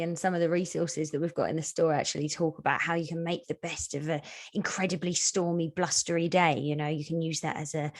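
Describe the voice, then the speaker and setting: Female host, lower register. Conversational interview style for a book launch. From born in the UK, lives in Canada.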